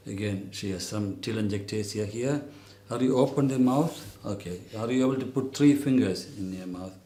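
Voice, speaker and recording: A man speaking as a doctor, giving an unscripted clinical teaching demonstration in a hesitant, explanatory way with frequent pauses. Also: on the low side, mellow. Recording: roomy echo, faint background noise.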